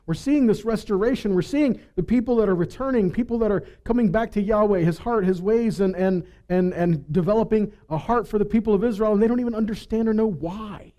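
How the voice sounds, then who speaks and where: A man's voice: high voice, brisk, few pauses, dark tone. A man giving a Bible teaching, reading scripture and putting rhetorical questions to his listeners.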